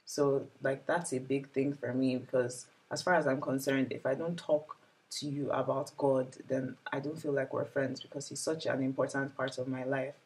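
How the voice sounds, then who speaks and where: Young woman, vlogger, gravelly fry in the voice, casual and open, lots of fillers. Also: very deep voice, steady tone, normal speed, darkish tone, low-quality recording. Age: 20s. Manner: reflective, open, many "like" fillers. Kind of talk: casual year-in-review chat.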